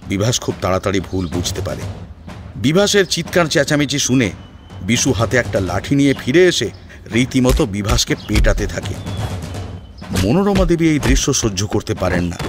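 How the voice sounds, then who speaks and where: A man reading a scripted story as a dramatic narrator. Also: medium pitch. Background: background music.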